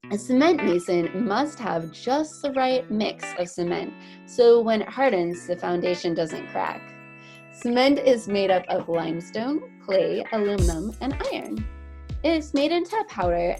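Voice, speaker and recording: Female children's teacher, lively read-aloud with swooping intonation and some vocal fry, faint music behind. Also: mid-range voice. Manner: animated, encouraging, explains things simply to children. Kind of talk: picture book read-aloud for young children.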